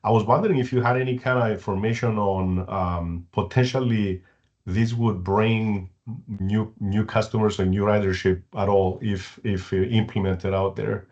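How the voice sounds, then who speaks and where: A man's voice: lowish voice, fairly even intonation, moderate pace, a little mellow, highs cut off. A man at a meeting asking a hesitant question. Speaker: committee member asking a question.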